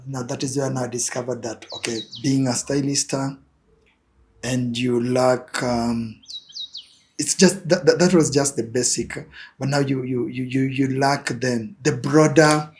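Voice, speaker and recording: A man's voice: mid-range voice, fairly even intonation, a little slow, slight background noise. A young Kenyan man with a Kenyan accent, answering interview questions as an image consultant, with many fillers and repeated words.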